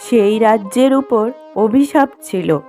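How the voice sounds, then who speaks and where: A woman narrates a cartoon fairy tale from a script. Her voice is fairly high and slow-paced, close and dry on the microphone, with soft music behind. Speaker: storyteller, narrator.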